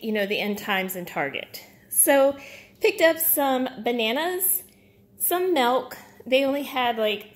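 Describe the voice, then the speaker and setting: Middle-aged lady rambling thru her grocery haul, kinda high voice, phone mic in the kitchen. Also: a little hiss or hum. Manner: chatty, rambling, with fillers. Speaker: vlogger. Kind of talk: casual grocery haul narration. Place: home kitchen table, indoors.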